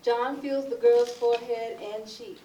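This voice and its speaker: A woman's voice: fairly high pitch, fairly even intonation, a little slow, dark tone. Narrator: woman reading scripted stage directions, heard from far off with some background noise. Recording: echoey, distant, noisy stage recording.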